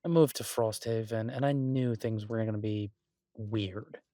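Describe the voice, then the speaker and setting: A man playing an exasperated but affectionate restaurant chef in an intimate boyfriend audio roleplay, talking conversationally with halting, stammered restarts. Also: fairly low pitch, steady tone, mellow, dry recording, clean studio sound. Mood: amused, incredulous. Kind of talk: intimate boyfriend roleplay, one-sided casual dialogue.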